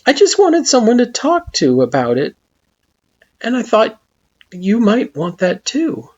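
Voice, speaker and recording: A gentle young hero, played by a woman reading aloud, sincere and quietly pleading. The voice sits well above the reader's own low register, soft and steady. Storybook style. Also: a little slow, slightly rough, highs cut off, exaggerated voice. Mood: earnest.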